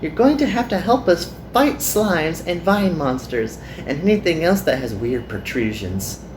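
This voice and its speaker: Guy doing a perky earnest anime magical girl, pitched up high, bit of room echo. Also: sing-song, unhurried, background noise.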